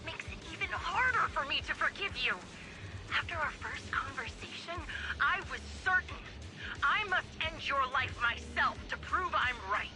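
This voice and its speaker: A woman's voice: lively intonation, normal speed, music in the background, low-quality recording. An actress playing a vengeful, unhinged female sniper villain in a video game, sneering and theatrical with bitter mockery. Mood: cold anger. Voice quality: high, swooping voice. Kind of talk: dramatic video game voice acting.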